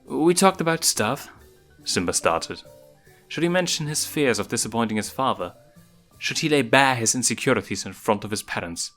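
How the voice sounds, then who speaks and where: A man's voice: mid-range voice, lively intonation, fairly quick, a bit bright. A man reading a story aloud as a scripted narration, with music behind him. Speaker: fan fiction reader.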